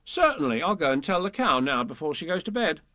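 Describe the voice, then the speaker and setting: Man putting on a polite, high-voiced dairymaid. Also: sing-song, brisk, pushed and bright, no room sound. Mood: deferential. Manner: deferential. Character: polite, timid young dairymaid in a storybook.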